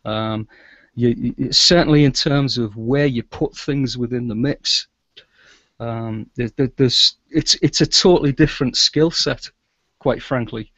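A man's voice: medium pitch, unhurried, a bit bright, no echo. Middle-aged male interview guest, conversational and chatty, dry but slightly muffled online-call audio. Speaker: guest, audio drama producer and sound engineer. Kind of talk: casual interview talk. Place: small indoor room with shelves, video call.

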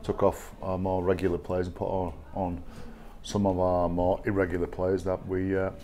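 A middle-aged man with an English accent, a rugby coach answering post-match press questions in a measured, halting way with many fillers. He sits at a table in a small press room. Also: low voice, completely flat delivery, mellow, a little hiss or hum. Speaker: rugby league head coach. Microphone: table microphone.

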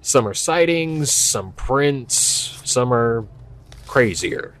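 A man's voice: medium pitch, expressive, very slow, fairly bright tone. A man acting a young, skeptical park ranger in an audio drama, speaking casually and hesitantly with a wry edge. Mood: matter-of-fact. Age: young adult. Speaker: voice actor. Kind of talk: naturalistic audio drama dialogue.

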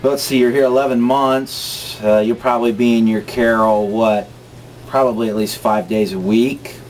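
A man in his thirties giving mock-serious advice in a casual, deadpan, hesitant way. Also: mid-range voice, normal speed. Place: small office study carrel, seated back. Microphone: handheld camera at short distance. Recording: slightly noisy camera audio.

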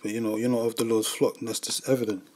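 A man preaching in a loose, conversational way. His voice sits low and stays fairly flat in pitch, and he keeps tagging his lines with "man" and "you know". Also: fast talker. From United Kingdom. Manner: casual, repeats "man" and "you know", frequent restarts. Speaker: religious group member. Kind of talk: informal preaching upload. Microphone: voice-over on screen recording.

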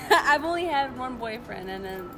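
A woman's voice: sits high, lively intonation, takes it slowly, music in the background, rough recording. A young woman in a shopping mall answering a prank question in a giggly, shy way.

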